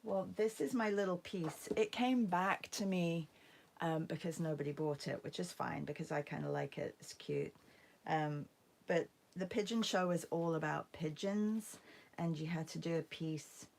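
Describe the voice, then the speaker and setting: A woman with a hoarse, croaky voice after a concert, chatting casually with viewers as she hosts an art livestream. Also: lowish voice, measured pace, darkish tone. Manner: chatty, greets viewers by name. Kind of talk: casual livestream chat while painting.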